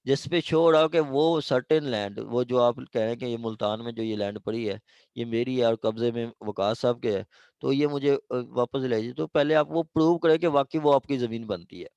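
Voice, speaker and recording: A man's voice: medium pitch, fairly quick, a little mellow, no room sound, highs cut off. Pakistani man lecturing, close dry sound. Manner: explanatory, often checking understanding; code-switches into English legal terms. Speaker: law lecturer. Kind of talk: informal classroom lecture with examples.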